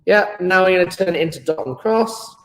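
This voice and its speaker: A man's voice: high voice, a little fast, a bit bright, reverberant, low-quality recording. A British man teaching a live online chemistry lesson, playful and enthusiastic, joking with his pupils.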